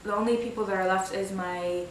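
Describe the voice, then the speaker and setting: A woman's voice: medium pitch, fairly even intonation, fairly quick, slight background noise. A woman in her twenties from Egypt talking casually and informally in a documentary interview. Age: 20s. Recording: very echoey room, distant mic.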